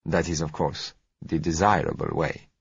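Suave, courteous foreign dealer, read by a man; low, husky, measured delivery. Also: full character voice. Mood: smooth, measured. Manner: unhurried, gentle, courteous. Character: suave polite male foreign oil dealer. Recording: dull lo-fi audio.

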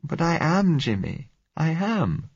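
A man's voice: on the high side, sing-song, takes it slowly, darkish tone, slightly rough, dry recording, highs cut off, pitched above the performer's own voice, exaggerated voice. A man playing a frightened, pleading young woman. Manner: pleading, anxious. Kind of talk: audiobook reading.

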